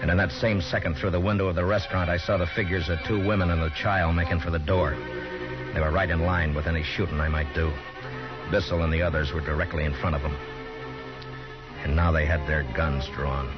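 A grave, steady frontier marshal played by a man in an old radio western, with a very deep voice and measured narration. Loud music sits under the dull, muffled 1950s broadcast sound. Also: natural voice.

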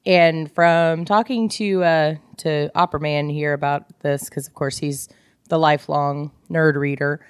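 A woman's voice: sits low, fairly even intonation, measured pace, clean tone, no room sound, studio quality. A woman co-hosting a casual book club podcast, chatting in an informal, teasing and opinionated way. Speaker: podcast co-host.

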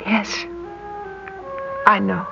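A woman acting a brave, tender young American woman in a classic radio drama, with a breathy, intense delivery. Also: mid-range voice, animated, drawn-out words, exaggerated voice. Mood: solemn, tender. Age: young adult. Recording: dull old radio recording with music.

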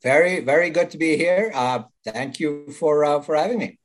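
Older Swedish-accented man, thoughtful. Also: on the high side. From Sweden. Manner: thoughtful, frequent restarts and pauses. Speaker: guest. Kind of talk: interview answers.

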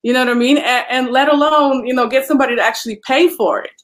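A woman, chatting as an interview guest, full of "you know" fillers and quick to laugh. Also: high voice. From Poland. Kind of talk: casual interview chat.

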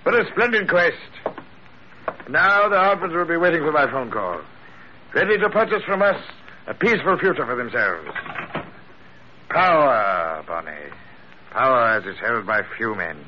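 An actor playing a theatrical, gloating gentleman blackmailer in florid, formal speech, in classic radio drama style. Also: fairly high pitch, very animated, moderate pace, long pauses. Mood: triumphant, grandiose. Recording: muffled old radio broadcast, faint hiss.

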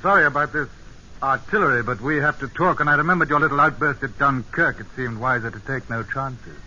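An actor playing an urbane, persuasive British secret agent in an old-time radio drama. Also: male voice, medium pitch, a little fast, pushed and bright. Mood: suave, casual. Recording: muffled old radio broadcast, faint hiss.

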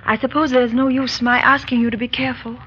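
A brisk, higher-pitched female voice acted by a woman plays a loyal young companion. She is anxious and eager to help, on a dull, noisy old radio recording. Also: flat delivery, lightly acted. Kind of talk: old-time radio drama acting.

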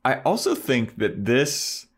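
A man's voice: medium pitch, sing-song, slow. A man co-hosting a podcast, casually recapping a TV episode in slangy talk and acting out quoted asides. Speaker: podcast co-host.

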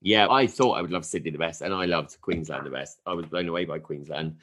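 A man from England hosting a podcast in a friendly, upbeat way. He fires off quick questions and gives cheerful sign-offs. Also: deep voice, a bit bright. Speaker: podcast host. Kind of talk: casual interview and podcast outro.

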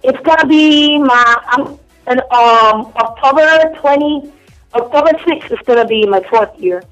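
A young woman with a Spanish accent chats openly and laughs easily as a caller-in on a radio show. Her voice comes through a dull, lo-fi phone line with almost no room sound, and some quiet music plays behind her. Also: high voice, measured pace, no room sound. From Cuba. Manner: frank, bubbly, giggly. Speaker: phone-in guest. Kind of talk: casual radio interview. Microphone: telephone.

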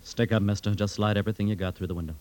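Low-voiced, laconic outlaw leader played by a man. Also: fairly even intonation, very fast, darkish tone, close to an everyday voice. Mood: commanding. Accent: American. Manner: laconic, clipped, understated. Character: Cole Younger, terse, wary, seasoned outlaw gang leader. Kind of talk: old-time radio western acting. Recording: noisy old radio recording.

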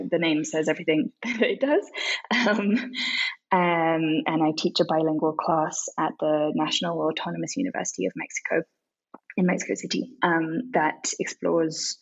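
A woman's voice: fairly low pitch, husky, lo-fi sound. A woman in her thirties, an author and translator, answering interview questions thoughtfully with frequent ums and restarts. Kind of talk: podcast interview.

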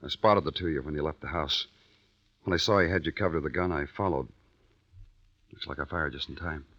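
An actor playing a reassuring small-town deputy sheriff in an old radio drama, with a folksy, gentle manner. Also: male voice, very deep voice, brisk. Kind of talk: 1940s radio acting. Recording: muffled vintage radio recording.

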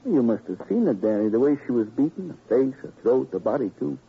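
A weary, anguished doctor in an old radio crime drama. The voice is husky and middle-pitched, halting and mournful. It comes through a very thin, tinny vintage recording. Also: male voice, very animated, full character voice. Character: weary, anguished police doctor, haunted by death.

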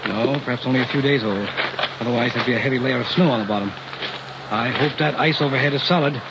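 Actor as a determined professor, muffled old radio. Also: male voice, medium pitch, fast, very noisy, natural voice. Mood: tense, intrigued. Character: determined, curious male scientist professor, middle-aged expedition leader. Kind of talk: old-time radio drama acting. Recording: muffled vintage radio recording.

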